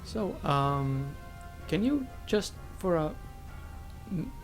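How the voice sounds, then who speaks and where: A woman's voice: low-pitched, very animated, very slow, soft background music. A woman giving casual, wry, hesitant commentary over video game cutscenes. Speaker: Let's Play commentator.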